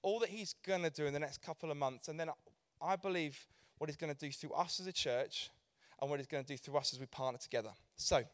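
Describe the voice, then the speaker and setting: High-voiced passionate preacher man. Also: fast talker, dry recording, clean studio sound. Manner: passionate, emphatic, repetitive rallying phrases. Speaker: youth ministry leader. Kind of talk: preaching to a church audience. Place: church event hall.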